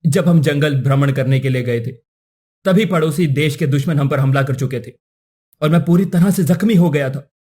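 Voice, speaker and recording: A man's voice: a little high, normal speed, mellow, husky. A man playing a principled young prince in a cartoon story, speaking earnestly and formally.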